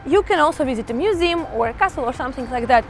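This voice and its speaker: Chatty high-voiced woman vlogging outdoors. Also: animated, rapid-fire, soft background music. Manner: chatty, enthusiastic, addresses viewers as guys. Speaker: travel vlogger. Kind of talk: casual travel vlog to camera. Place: outdoors in a small town.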